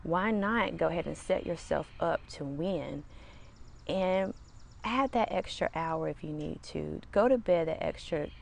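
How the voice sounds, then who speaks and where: A woman hosting her own podcast, talking casually outdoors with a small, slightly raspy voice and a relaxed, rambling way of speaking. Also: lowish voice, unhurried. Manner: relaxed, rambling, chatty with fillers. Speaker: podcast host. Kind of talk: casual solo podcast talk. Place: outdoors in the sun.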